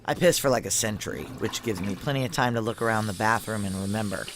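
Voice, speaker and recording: A woman's voice: rumbling low, fast, slight background noise. An actress playing a tough, blunt, world-weary female security operative in a noir audio drama, speaking in terse, salty phrases. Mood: weary, brooding. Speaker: lead actor and narrator in an audio drama. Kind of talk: noir audio drama acting with intimate first-person narration.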